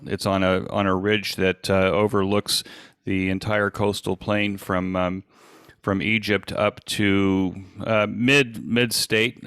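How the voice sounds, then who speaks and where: A man in his sixties with an American accent gives an informal online presentation in a halting, conversational, self-deprecating way. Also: lowish voice, fairly bright tone, smooth, no room sound, studio quality. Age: 60s. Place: indoors at a desk, on a video call.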